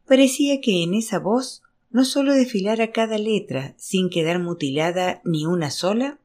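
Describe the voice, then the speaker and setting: A woman reading literary fiction aloud as scripted narration, in an expressive storytelling manner. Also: mid-range voice, normal speed. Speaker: audiobook reader.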